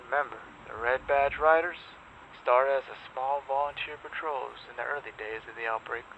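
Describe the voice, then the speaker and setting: A man playing an ominous, sardonic pirate radio host in a zombie apocalypse drama, speaking in deliberate dramatic pauses. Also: medium pitch, bright, forceful tone. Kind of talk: dramatic scripted radio monologue. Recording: muffled noisy lo-fi radio broadcast.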